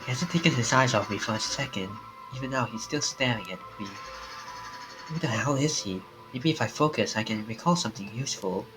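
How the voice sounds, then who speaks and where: Low-voiced man streaming over game music. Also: animated, fairly quick, low-quality recording. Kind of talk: reading a visual novel aloud on stream.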